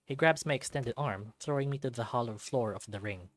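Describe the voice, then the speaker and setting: A man reading a visual novel aloud in an expressive way, dropping in casual asides of his own. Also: medium pitch, fairly quick, no room sound.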